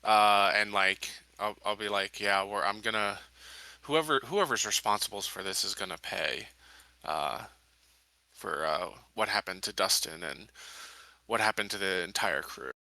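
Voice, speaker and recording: A man casually roleplaying in a tabletop game in his own voice, haltingly thinking aloud. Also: lowish voice, creaky, pushed and bright, no echo, low-quality recording.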